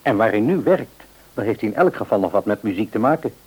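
A man playing a kindly, practical older father in a radio play, husky and reassuring. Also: lowish voice, lively intonation, quick pace, darkish tone, poor audio. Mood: reassuring, reasonable. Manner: avuncular, musing, reassuring.

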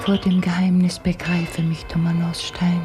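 An actress playing an enigmatic, devoted young woman, reciting surreal love poetry in a radio drama, with breathy phrase endings. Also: fairly low pitch, monotone, a little slow, lightly acted. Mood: dreamy, intense. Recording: loud music under the voice.

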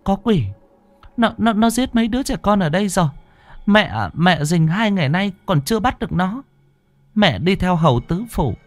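A stammering mother pleads in a strained, high voice, as a male storyteller puts her on. Her pitch leaps and swoops with every line. The sound is dry and close. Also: lively intonation, moderate pace, dry recording, soft background music. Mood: pleading. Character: grieving middle-aged woman medium, desperate mother.

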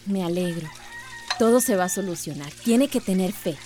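A woman's voice: lowish voice, animated, normal speed, mellow, slight background noise, close to an everyday voice. A woman playing a young, earnest village schoolteacher in a radio soap. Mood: calm, earnest. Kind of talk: radio soap opera acting.

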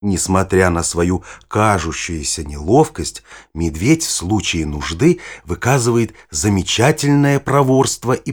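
A man playing a knowledgeable nature guide, narrating a children's audio play in a measured, expressive, explanatory way. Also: low voice, full character voice. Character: knowledgeable guide showing children forest animals. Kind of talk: scripted storytelling narration for children.

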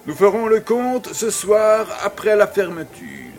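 An actor playing a jovial, pleasure-loving baron in an audio drama, with a grand, amused and urbane manner. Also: male voice, high voice, wildly swooping pitch, measured pace, soft background music, full character voice.

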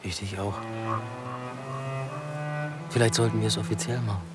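Male detective, acted, radio crime play: low voice, sparse natural delivery, clear music behind. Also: flat delivery, normal speed, lightly acted. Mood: intimate, low-key. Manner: laconic, understated. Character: laconic, ambitious male police detective. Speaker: police detective. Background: prominent music.

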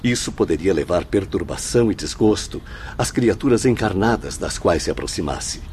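A man's voice: sits low, expressive, moderate pace, a little mellow, a little hiss or hum. An actor playing a stern male spirit guide in a radio drama, formal and didactic. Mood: grave, explaining.

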